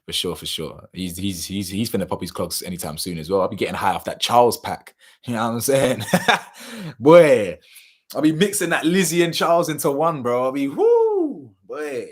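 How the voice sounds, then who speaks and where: A young man, chatting casually on a live stream and reading out viewer comments, slangy and animated, his voice a little hoarse. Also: medium pitch, normal speed. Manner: slangy, animated, laughs often, drifts between loud bursts and mumbles. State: slightly hoarse.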